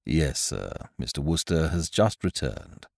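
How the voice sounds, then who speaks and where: A man reading aloud, voicing an all-knowing male valet who speaks in a formal, measured, deferential manner. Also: very deep voice, sing-song, creaky, no echo, clean studio sound, pitched below the performer's own voice, exaggerated voice.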